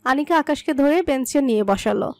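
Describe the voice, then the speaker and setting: High-pitched woman narrating a story. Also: lively intonation, brisk, a little mellow, no echo. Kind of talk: scripted dramatic story narration in Bengali.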